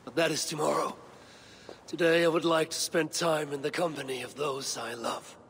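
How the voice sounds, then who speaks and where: An actor playing a weary, resolute Viking warrior in a game, gravelly, with terse, formal and solemn delivery. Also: male voice, fairly high pitch, level tone, moderate pace, fairly bright tone, a little hiss or hum. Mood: calm, resolute. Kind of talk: video game cutscene acting.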